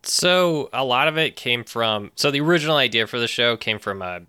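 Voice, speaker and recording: A man's voice: mid-range voice, animated, fairly quick, bright, forceful tone, clean tone, no echo, clean studio sound. A wry, self-deprecating man chatting casually as a guest in a podcast interview. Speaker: podcast guest, show co-creator.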